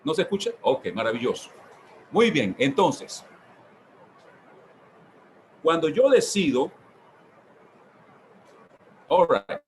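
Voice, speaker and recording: A man's voice: on the high side, big pitch swings, takes it slowly, pauses often, a little mellow, slight background noise. A man teaching as a pastor in an informal sermon style, emphatic and given to repeating key phrases. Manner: repetitive, emphatic, with rhetorical repetition. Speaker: pastor leading a church workshop.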